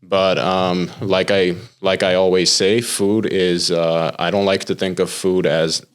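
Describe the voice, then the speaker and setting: A young American man, a chef, talking casually in a podcast interview and explaining things in a relaxed way, with lots of filler words. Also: low-pitched, unhurried, clean tone. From United States. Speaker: chef and pitmaster, podcast guest.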